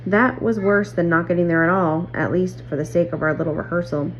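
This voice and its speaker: Woman, story narration, careful delivery, lower pitch, dull audio with faint hum. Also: fairly even intonation, fairly quick. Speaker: reader of a children's novel aloud. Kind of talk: read-aloud story narration. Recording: muffled audio, clear background hum.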